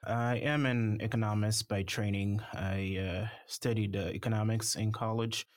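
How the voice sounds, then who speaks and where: A man from Haiti, a startup founder, answering interview questions in a measured way with many fillers. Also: on the low side, steady tone, no echo. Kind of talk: conversational interview.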